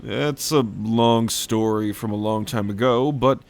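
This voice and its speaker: A man's voice: fairly low pitch, creaky. A man playing a world-weary, blunt dragon brother in a roleplay audio drama, telling things in a wry way. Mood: grave, reflective. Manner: wry, unhurried storytelling with cursing.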